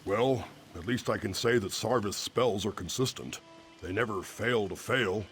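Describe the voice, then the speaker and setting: A man playing a dry, mocking adventurer in a video game, deadpan and unimpressed. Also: lowish voice, normal speed, a little hiss or hum, close to an everyday voice. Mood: sardonic. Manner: sardonic, deadpan. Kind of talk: video game character acting.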